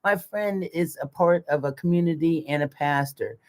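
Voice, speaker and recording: A woman's voice: low voice, normal speed, a little mellow. An older woman co-hosting a casual live chat, talking in a folksy, colloquial and emphatic way. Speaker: co-host of a live community chat show.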